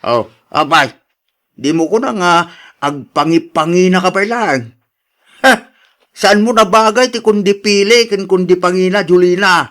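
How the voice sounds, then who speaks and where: A man's voice: high-pitched, a little slow, pauses often, a bit bright. A man with a Filipino accent playing a stern, hot-tempered father who scolds emphatically in a radio drama. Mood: stern, pressing. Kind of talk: Ilocano radio drama acting.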